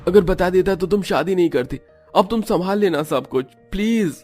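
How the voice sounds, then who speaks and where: A man playing an apologetic, loving young husband in a cartoon story, pleading softly. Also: high-pitched, normal speed, faint music behind, voice pushed up. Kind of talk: Hindi cartoon story dialogue.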